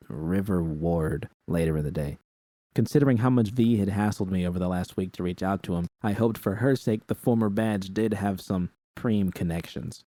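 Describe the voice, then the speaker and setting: A man narrates a first-person story in a low-pitched voice. The tone is dark and mellow, and the recording is dry and close, with no room sound. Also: dry recording. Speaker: audiobook narrator.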